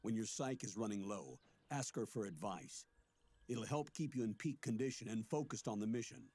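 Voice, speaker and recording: A male actor playing an earnest older colonel in a video game, explaining things in a measured, authoritative way. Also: lowish voice, a little mellow, slightly rough. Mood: measured, guarded.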